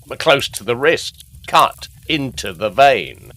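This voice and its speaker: A man's voice: mid-range voice, expressive, takes it slowly, sharp tone. An actor playing a courteous, matter-of-fact male Scotland Yard detective in a gothic audio drama.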